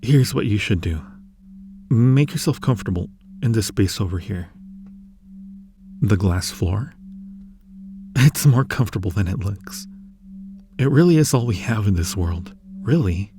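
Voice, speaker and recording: A man playing a friendly, curious being from another universe in an ASMR roleplay, speaking in a gentle, reassuring, musing way. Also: on the low side, measured pace, pauses often, a little hiss or hum. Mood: gentle, explaining. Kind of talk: ASMR roleplay addressed to the listener.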